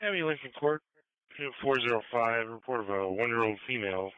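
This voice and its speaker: A man's voice: medium pitch, brisk, smooth, no room sound. A man giving terse emergency dispatch messages over a two-way radio. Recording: thin phone-like scanner radio.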